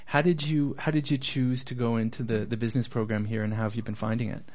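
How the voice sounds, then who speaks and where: A man's voice: medium pitch, flat delivery, quick pace, a little mellow. A man hosting a radio interview, asking friendly, probing questions. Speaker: radio show host. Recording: dull lo-fi radio recording.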